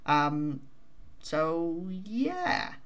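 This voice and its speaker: A young man talks to his viewers in an unhurried, meandering way, mocking himself with lots of ums and asides. His voice sits a little high for a man. He speaks into a desk microphone at home. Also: very animated, fairly bright tone, clean tone. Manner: self-deprecating, chatty, many fillers. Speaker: vtuber channel host. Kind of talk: casual rambling update to viewers.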